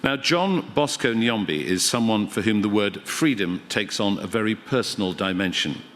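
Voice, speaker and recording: Lowish-voiced British man presenting live radio. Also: animated. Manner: measured, gently probing questions. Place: radio theatre with audience. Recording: reverberant live theatre.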